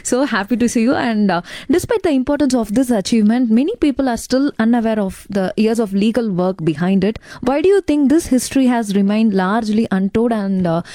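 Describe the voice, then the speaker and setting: Mellow-voiced Indian woman interviewer, dry sound. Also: a little high, animated, no echo. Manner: admiring, respectful, deliberate emphasis. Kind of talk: conversational interview questions.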